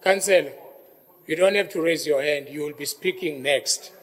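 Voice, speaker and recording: A man's voice: on the high side, normal speed, a bit bright, long reverb tail. A South African man chairing a council meeting, repeating himself as he calls councillors to order. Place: council chamber.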